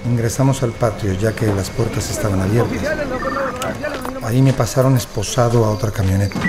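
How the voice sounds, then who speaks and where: A man's voice: on the low side, brisk, close to an everyday voice. An actor playing a subdued, wronged male prisoner, narrating his ordeal in the first person for an audio drama. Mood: somber recounting. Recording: loud music bed with vehicle sounds.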